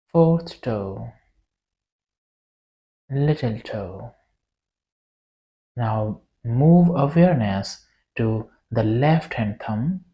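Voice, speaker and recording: A meditation guide leading a yoga nidra relaxation in a soothing, deliberate way, with long pauses between short phrases. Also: medium pitch, dark tone, muffled recording.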